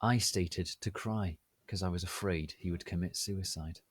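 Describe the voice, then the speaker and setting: A man narrating a story as a dramatic reading, in a deliberate and theatrical manner. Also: deep voice, husky, dry recording.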